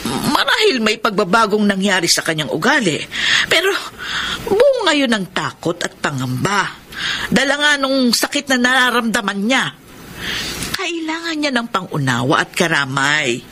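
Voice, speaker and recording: A woman's voice: mid-range voice, normal speed, slight background noise. Swooping, fretful Filipino mother. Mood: reproachful, worried. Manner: expressive, sighing, fretful, admonishing. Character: concerned, reproachful older mother counselling her married daughter. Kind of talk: Filipino radio soap opera acting.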